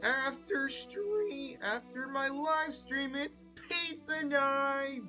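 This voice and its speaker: A woman's voice: sits high, drawn-out words, bright, forceful tone, faint music behind. A woman chatting casually on a livestream. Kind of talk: livestream chat.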